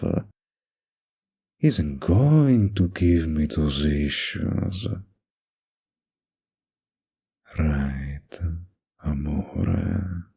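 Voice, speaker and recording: Man playing a deep, gravelly, slow-talking mafia boss. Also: mellow, full character voice. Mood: veiled threat. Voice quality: low, gravelly, husky.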